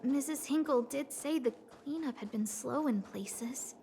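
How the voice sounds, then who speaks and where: A woman's voice: high-pitched, unhurried, creaky, background noise. A woman playing an earnest, anxious, caring young woman in a video game, hesitant and thinking aloud. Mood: concerned.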